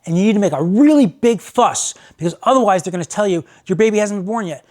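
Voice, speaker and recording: Mischievous American dad played by a male storyteller, raised pitch, straight-faced and persuasive, comic anecdote. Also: expressive, fast, a little mellow. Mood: confiding. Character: mischievous deadpan father playing practical jokes on strangers.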